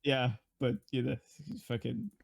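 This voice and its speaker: A male streamer bantering casually, playful and excitable, with some swearing. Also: mid-range voice, measured pace, gravelly, no echo.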